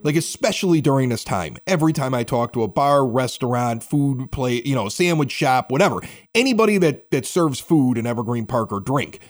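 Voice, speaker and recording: A man's voice: medium pitch, expressive, fairly quick. A man hosting a podcast, asking long, talkative questions in an informal, conversational interview style. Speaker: podcast host.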